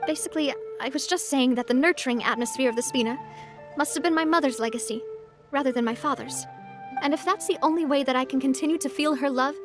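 A woman voicing a cheerful, sincere young woman leader in a video game, speaking in a gentle, earnest, measured way. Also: high voice, natural voice. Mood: earnest, wistful. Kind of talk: animated video game voice acting. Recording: soft music behind the voice. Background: soft game music.

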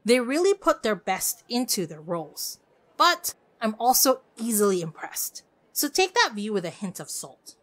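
A woman hosting a solo podcast, giving a scripted review in an expressive, opinionated way. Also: a little high, moderate pace. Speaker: podcast host.

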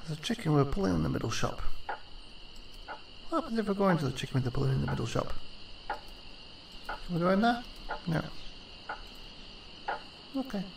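A man's voice: a little high, sing-song, brisk, creaky, long pauses. A man giving casual, quippy commentary as he plays a game, reacting playfully. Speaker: gaming video host. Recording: noisy, echoey, distant-sounding mic.

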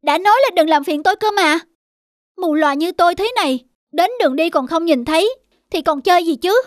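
Gloomy high-voiced cartoon dog. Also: female voice, brisk. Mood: irritated. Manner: sullen, self-pitying. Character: gloomy, prickly blind dog. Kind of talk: dubbed cartoon acting.

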